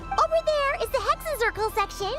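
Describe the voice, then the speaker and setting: Very high, animated, excitable game girl. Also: a little fast. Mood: cheerful, eager. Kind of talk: video game voice acting. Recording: loud music under voice.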